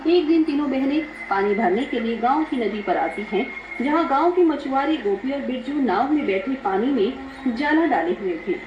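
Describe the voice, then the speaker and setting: A woman's voice: sits high, animated, moderate pace. A woman narrating a scripted dramatic story. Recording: echoey, dull, music behind.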